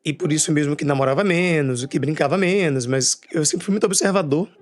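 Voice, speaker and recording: A man with a Bahian accent tells stories about his family in a relaxed interview. He talks in a chatty way, full of little fillers and laughter. His voice sits a bit higher than most men's. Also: brisk, faint music behind. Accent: Bahian Portuguese. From Bahia, Brazil. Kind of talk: casual storytelling interview.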